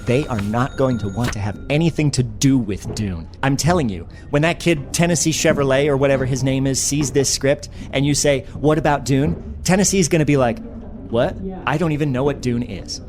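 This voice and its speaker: A man playing a self-satisfied screenwriter pitching sci-fi, talking in a rambling, earnest, conversational way about absurd ideas. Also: brisk, music in the background, lightly acted. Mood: self-assured. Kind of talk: improvised-sounding mock meeting, conversational. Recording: noisy room ambience.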